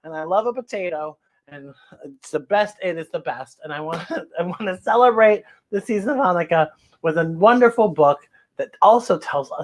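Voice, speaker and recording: Children's read-aloud host, female, very animated delivery, effusive, repeats key phrases. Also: mid-range voice. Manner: exclamatory, gushing, repeats phrases. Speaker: children's story time host.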